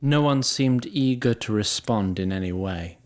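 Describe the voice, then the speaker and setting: A man narrating a scripted fantasy story in a deliberate, literary, wry manner. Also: fairly low pitch. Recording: clear background noise and ambience.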